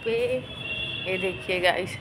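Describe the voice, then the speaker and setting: A young woman in her twenties with a hoarse throat chats casually as she films herself around her home and up on the roof. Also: lowish voice, fairly even intonation, slow pace, noisy background. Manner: chatty, walking and filming herself while doing chores. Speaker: vlogger. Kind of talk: casual vlog chat. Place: home kitchen and open rooftop. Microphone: phone held at arm's length.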